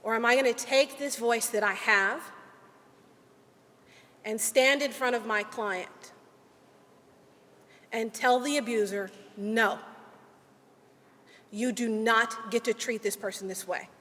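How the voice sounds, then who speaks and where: A woman attorney delivers a prepared speech at a ceremony, earnest and deliberate, with measured pauses. Her voice sits fairly high. It rings out in a large hall with a long reverb tail. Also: fairly bright tone. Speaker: legal aid attorney.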